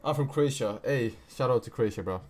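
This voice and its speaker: A man's voice: medium pitch, a little fast, darkish tone. A young man from Kosovo with an American-sounding accent, casually talking viewers through trading charts on a live stream, slangy, with frequent "bro" and "right?".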